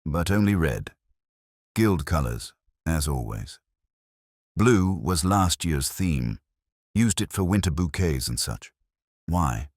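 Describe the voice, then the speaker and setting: A man voicing a brisk, forthright middle-aged woman florist who gossips in a wry, slightly guarded way in a village mystery story. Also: extremely low pitch, sing-song, dry recording, studio quality, full character voice. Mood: matter-of-fact.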